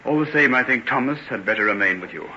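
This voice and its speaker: An actor in an old-time radio play as a commanding, grave gentleman who speaks formally and decisively. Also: medium pitch, expressive, fast. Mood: grave, controlled. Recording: muffled, very hissy old radio recording.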